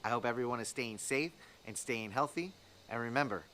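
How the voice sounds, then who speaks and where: Bright-voiced man reading a children's story aloud. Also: medium pitch, brisk, slight background noise. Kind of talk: scripted storybook read-aloud.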